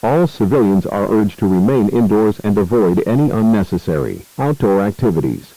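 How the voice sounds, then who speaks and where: Man, dry sound, mellow low voice, formal, grave, measured, scripted emergency alert announcer. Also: animated, dry recording, rough recording.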